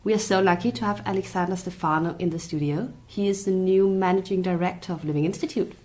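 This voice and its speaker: A woman's voice: lowish voice, fast talker, darkish tone. A woman hosting a business podcast, asking questions in a polite, upbeat way. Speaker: podcast host. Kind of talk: scripted podcast interview.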